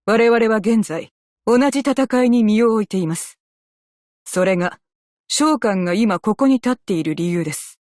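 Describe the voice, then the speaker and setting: A woman playing a composed, dutiful female naval officer in a game, speaking with measured, formal military politeness. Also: mid-range voice, a little mellow, no room sound, clean studio sound, natural voice. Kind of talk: scripted game character voice lines in Japanese.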